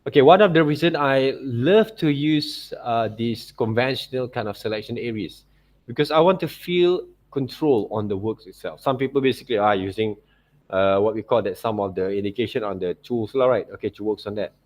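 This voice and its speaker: Male art teacher, Malaysian accent, mid pitch, informal step-by-step instruction with frequent check-in tags. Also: brisk, a little mellow. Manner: repeats tag words like okay and right. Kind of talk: informal software tutorial to students.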